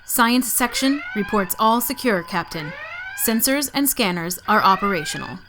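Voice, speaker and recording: A woman's voice: on the high side, expressive, moderate pace, noisy background, lightly acted. A woman playing a composed, formal starship first officer in a science fiction audio drama.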